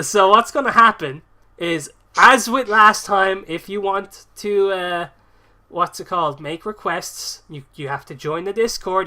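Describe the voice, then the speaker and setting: A man's voice: fairly high pitch, bright, forceful tone. A man in his early twenties hosting a podcast with a cold, rambling, laughing and swearing freely. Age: early 20s. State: sniffly with a cold. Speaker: podcast host. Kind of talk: casual podcast chat.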